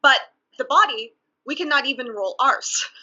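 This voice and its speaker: Woman, Mexican accent, very bright tone, higher voice, earnest and deliberate, video call, muffled audio. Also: expressive. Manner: deliberate, earnest, laughs softly between thoughts. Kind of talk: casual conversation.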